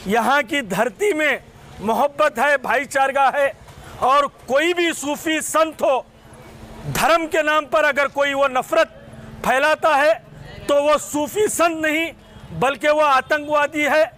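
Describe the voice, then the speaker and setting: A man with a very high-pitched, sharp voice. He makes an impassioned public statement in emphatic, declamatory phrases, often repeating himself for effect. Faint street noise sits behind him. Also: slow pace. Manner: emphatic, declamatory, rhetorical repetition in short phrases. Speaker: advocate and community spokesman.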